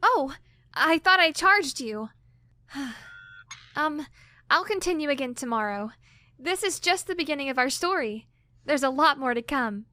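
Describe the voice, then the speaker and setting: A woman's voice: high-pitched, lively intonation, measured pace, pauses often, pushed and bright, heavily acted. An actress playing a bookish, flustered young woman explorer in an audio drama, wordy and analytical, stammering when rattled. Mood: gentle, tired. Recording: music under the voice.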